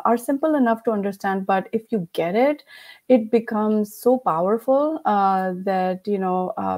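A woman in her forties with an Indian accent, a podcast guest talking over a headset on a video call in a home room, chatty and quick to laugh, with many fillers. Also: mid-range voice, mellow. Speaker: podcast guest, company founder. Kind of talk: casual interview chat. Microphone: headset with mic.

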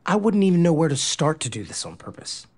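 Male love interest, rom-com audio drama: cocky, none-too-bright athlete, nonchalant and earnest. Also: fairly high pitch, sing-song, brisk. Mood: breezy, flirting. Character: easygoing, dim, cocky male athlete, womanizer. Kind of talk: romantic comedy audio drama acting.

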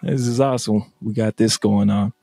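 Flat-voiced laid-back podcast host, a man. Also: lowish voice, moderate pace, vocal fry, slightly rough, no echo, soft background music. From United States, Army family. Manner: laid-back, many "you know" fillers. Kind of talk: casual interview chat. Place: home room, indoors. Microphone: studio mic with headset, video call.

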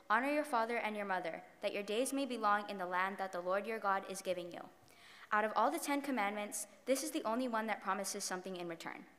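A woman's voice: mid-range voice, fairly bright tone, a little hiss or hum. A young woman giving a prepared sermon as a student preacher, recorded in a large, reverberant church. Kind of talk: sermon, reading prepared text. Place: large church sanctuary, at the pulpit. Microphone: podium microphone. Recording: reverberant hall, long echo.

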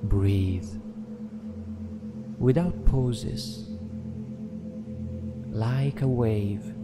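Slow-paced man guiding a meditation. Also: on the low side. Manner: gentle, soothing, deliberate with long pauses. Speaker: meditation guide. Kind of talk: guided meditation narration. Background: meditation music with singing bowls.

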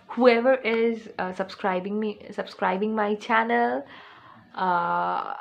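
A teenage girl with an Indian English accent chatting into her phone camera for her first vlog, rambling with lots of fillers and restarts, indoors in a small room at home. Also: mid-range voice. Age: teens. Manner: rambling, chatty, with many fillers and self-corrections. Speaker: vlogger. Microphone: phone camera held close.

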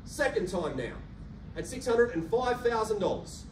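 A man in his thirties with an Australian accent, an auctioneer calling bids in a rhythmic, rapid-fire patter and coaxing buyers in a carpeted function room. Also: high voice, big pitch swings. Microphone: handheld mic, filmed by a far camera. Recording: roomy echo from a distant camera, faint hum.

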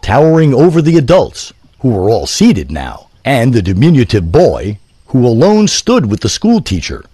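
A man reading a scripted story in a literary, deliberate storytelling manner. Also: mid-range voice, wildly swooping pitch, warm tone, husky, no echo.

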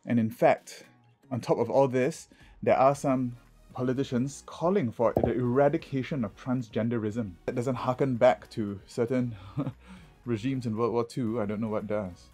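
A man talks to his viewers as a drag queen vlogger. His voice is husky and sits at a medium pitch. The delivery is casual and chatty, with points rounded off by "okay", and faint music plays underneath. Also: normal speed, dark tone. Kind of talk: casual vlog commentary.

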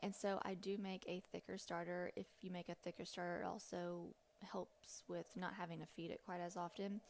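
Chatty mom-aged woman doing a casual home cooking voiceover, gravelly fry in her voice, bit of background noise. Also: on the low side, a little mellow. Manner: chatty, rambling, informal. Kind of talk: casual instructional voice-over.

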